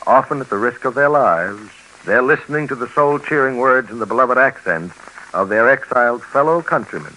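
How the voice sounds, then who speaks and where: A man's voice: mid-range voice, animated, a little fast, sharp tone, rough recording. A man narrating a 1950s radio documentary in a formal, dramatic, declamatory manner. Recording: old radio recording, faint noise.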